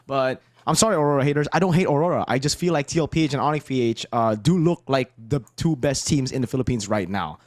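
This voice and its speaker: A man's voice: mid-range voice, fast, hardly any pauses, no echo, clean studio sound. A man from Indonesia chatting casually and playfully as an esports podcast panelist.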